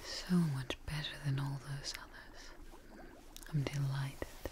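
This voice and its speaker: Hushed, slow young woman whispering ASMR. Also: a bass voice, steady tone, noisy background. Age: young adult. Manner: hushed, gentle, slow personal-attention delivery. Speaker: ASMR performer in a creature-testing roleplay. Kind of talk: soft-spoken, whispered ASMR roleplay. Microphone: close-up microphone.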